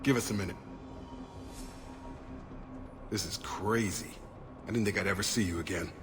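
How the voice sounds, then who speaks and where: A man's voice: fairly low pitch, fast, over music, lightly acted. A man playing a weary, principled soldier in a video game, speaking earnestly and plainly about protecting his people. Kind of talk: dramatic video game acting.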